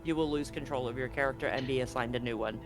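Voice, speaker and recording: A man's voice: medium pitch, fast talker, faint music behind. A man acting as game master, explaining rules and narrating the scene of a tabletop roleplaying game.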